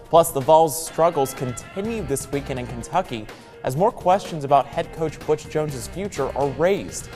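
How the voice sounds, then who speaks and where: A man's voice: fairly high pitch, lively intonation, moderate pace, darkish tone, big-room sound, faint music behind. A man with an American accent anchoring a scripted television newscast. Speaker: news anchor.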